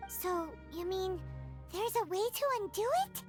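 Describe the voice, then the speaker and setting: A woman voicing a tiny, childlike girl fairy sidekick in a video game, chirpy, naive and excitable, with a squeaky voice. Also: measured pace. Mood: wondering. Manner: refers to self in third person, exclamatory. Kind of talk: video game character dialogue. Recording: game audio with prominent music. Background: loud game music.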